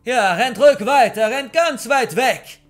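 A man voicing a large, violent man who shouts drawn-out threats in an audiobook story, with music behind. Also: very high-pitched, animated, pitched above the performer's own voice. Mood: menacing, shouting.

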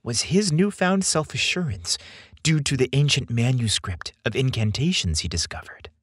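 A man narrating a scripted romance story as a podcast host, in an expressive storytelling style. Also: mid-range voice, normal speed, no room sound, clean studio sound.

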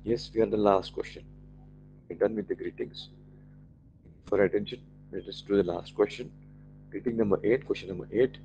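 A man with an Indian accent, whose first language is Marathi, teaching an online German class, slowly repeating each German phrase for his learners. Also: fairly low pitch, fairly even intonation, warm tone, highs cut off, a little hiss or hum.

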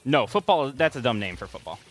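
A man's voice: mid-range voice, very animated, brisk. A man doing casual gaming commentary, joking, teasing and swearing freely. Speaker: let's play host.

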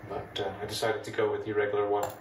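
A man with a Dutch accent walks through an old machine in a relaxed, chatty way, his voice sitting a little low. He sounds set back from the mic in a roomy, echoing space, with a faint hum of room noise behind him. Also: fast. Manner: relaxed, chatty, explains step by step. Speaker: retro computing video host. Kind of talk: casual hardware demo narration. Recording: echoey room, mic at a distance.

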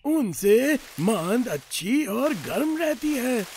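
A man voicing a kindly, jolly old grandfather pig in a children's cartoon, encouraging and expressive. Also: extremely high pitch, a little hiss or hum, exaggerated voice. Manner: encouraging, expressive, drawn-out praise.

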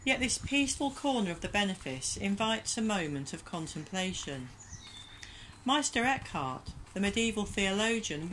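Calm woman leading a prayer. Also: medium pitch, slight background noise, low-quality recording. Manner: calm, gentle, measured. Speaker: church minister leading prayer. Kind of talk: reflective prayer voice-over.

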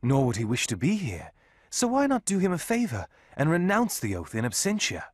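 A man's voice: a little high, huge pitch swings, fairly quick, dry recording, studio quality. An actor playing an earnest, proper young swashbuckling man with formal phrasing in a video game. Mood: formal, pleading. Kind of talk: video game cutscene acting.

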